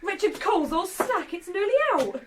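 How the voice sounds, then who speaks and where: Very high, excitable young village girl. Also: moderate pace. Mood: dismayed amusement. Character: excitable young village daughter, bossy sister. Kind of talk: radio drama acting. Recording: very noisy scene sound.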